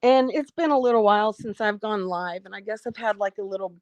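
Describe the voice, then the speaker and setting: A woman hosting a casual livestream, reading out viewer comments and speaking frankly about her life, slightly hoarse as she loses her voice. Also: medium pitch, fairly quick, a little mellow, dry recording. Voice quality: frank, blunt, swears freely. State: losing her voice, a bit hoarse. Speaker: livestream host.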